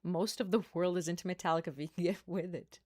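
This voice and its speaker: A young woman with a Brazilian accent chats casually on her live stream, her voice sitting a little low. She talks playfully, laughs often and throws in asides. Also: fairly even intonation, quick pace, a little mellow.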